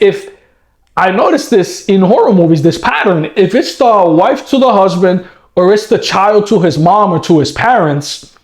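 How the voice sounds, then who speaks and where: A man talks loosely to his viewers, restarting sentences and checking in with "right?" His voice sits fairly high. The room around him is echoey. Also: darkish tone. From Puerto Rico. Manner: rambling, restarts with "right?" and "bro". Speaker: horror movie reviewer. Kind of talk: casual rant to camera.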